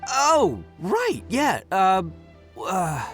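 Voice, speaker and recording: Loud-mouthed young oni boss, anime game, cocky but kind, kinda high and all over the place. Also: male voice, huge pitch swings, drawn-out words, soft background music, full character voice. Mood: sheepish, carefree. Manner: boisterous, cocky, informal. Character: brash big-hearted young male oni gang boss. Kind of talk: anime-style video game voice acting.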